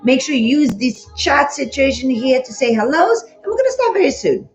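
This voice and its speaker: Bubbly lady dance teacher on a phone livestream, bit higher voice, slightly worn, roomy echoey sound. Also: lively intonation, normal speed, soft background music. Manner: bubbly, greets viewers by name. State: slightly losing her voice. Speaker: dance instructor. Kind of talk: live-streamed dance class.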